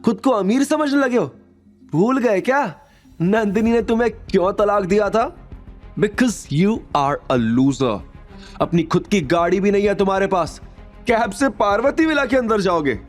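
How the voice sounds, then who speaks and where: Arrogant young rival for audio drama, male performer: high, animated, mocking bully who jeers and talks down to everyone. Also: unhurried, faint music behind. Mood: sneering. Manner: jeering, taunting, indignant. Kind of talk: Hindi audio drama acting.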